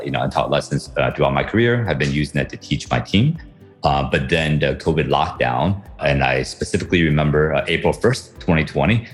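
A man from Taiwan and the US answering interview questions conversationally, with frequent fillers. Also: very deep voice. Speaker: guest author.

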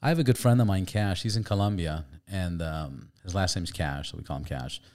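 A man with a very low voice hosts a relaxed podcast conversation. He tells stories animatedly and drops the odd curse word, all in an easygoing, unscripted way. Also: fairly quick. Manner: animated storyteller, swears casually. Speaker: podcast host. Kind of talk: casual chat.

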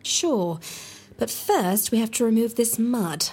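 A woman playing a brisk, exacting antiquities scholar in an audio drama. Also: fairly high pitch, animated, darkish tone, husky, full character voice. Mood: focused, scholarly.

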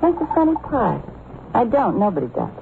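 Boozy, sardonic moll, old radio. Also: female voice, on the high side, wildly swooping pitch, normal speed, vocal fry, heavily acted. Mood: bitter, resigned. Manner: sardonic, teasing. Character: boozy, sardonic gangster's girlfriend, worldly and bitter. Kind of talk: old-time radio drama acting. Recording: noisy narrowband old radio recording.

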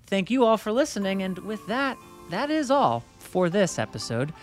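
A man's voice: high voice, wildly swooping pitch, moderate pace, faint music behind. A man hosting a podcast, joking lightly and thanking guests as he wraps up the episode. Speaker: podcast host. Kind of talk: casual podcast talk.

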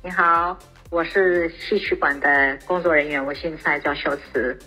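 Low, bright, husky Taiwanese Mandarin woman. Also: fairly even intonation, unhurried, soft background music, poor audio. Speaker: museum staff member. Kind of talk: informational announcement of exhibitions.

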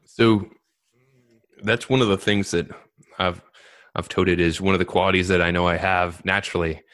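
Low-voiced male podcast host. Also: fairly even intonation, normal speed, a bit bright. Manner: reads intro in a scripted way, then chats loosely and jokes. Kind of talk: podcast interview.

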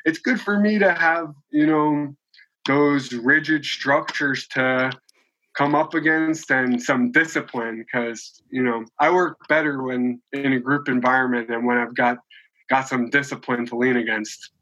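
A man's voice: medium pitch, steady tone, unhurried, bright, forceful tone, muffled recording. A man in his late twenties, a podcast guest, talking in a chatty, rambling way with many restarts and fillers. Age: 20s. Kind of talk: casual conversational interview.

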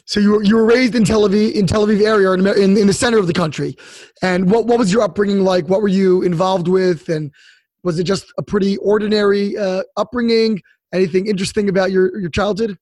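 A man hosting an interview, introducing his guest and asking measured, friendly questions. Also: high-pitched, steady tone, a little mellow, no echo. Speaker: host. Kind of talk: podcast interview.

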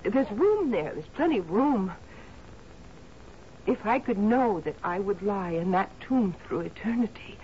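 An actress playing a devoted, sorrowful housekeeper in a 1970s radio drama, earnest and imploring. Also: female voice, medium pitch, expressive, a little slow, dark tone, lightly acted. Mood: pleading, intense. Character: devoted, sorrowful woman housekeeper, intense and pleading. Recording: muffled, hissy old radio broadcast.

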